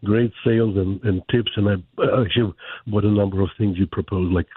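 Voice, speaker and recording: A man's voice: lowish voice, steady tone, a little fast, breathy edge, no echo. Ukrainian-accented man calling in by phone. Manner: eager and polite. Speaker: caller. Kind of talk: call-in conversation. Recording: tinny phone line.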